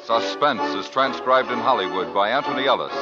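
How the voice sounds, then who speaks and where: An American man reading scripted announcements in a formal, measured 1950s radio announcer style. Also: medium pitch, animated. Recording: muffled old radio recording, loud music.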